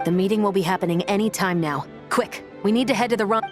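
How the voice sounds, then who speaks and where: A woman's voice: medium pitch, fast, over music, close to an everyday voice. A woman playing a determined, pressing crime-hunting agent in a video game. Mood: urgent.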